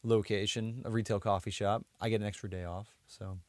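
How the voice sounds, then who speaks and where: A man's voice: on the low side, level tone, brisk, no room sound, studio quality. An American man, around his thirties, chatting casually as a podcast co-host and rambling as he trails off mid-thought. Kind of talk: casual chat.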